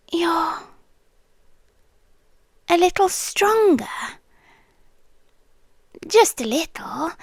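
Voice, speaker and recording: A British woman playing a doting, possessive night nurse in an ASMR roleplay, speaking in a hushed, intimate way that slips into whispers, close to the mic. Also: high voice, no echo, exaggerated voice. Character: doting, possessive female night nurse, secretive, softly insistent. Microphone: close microphone in a dry room.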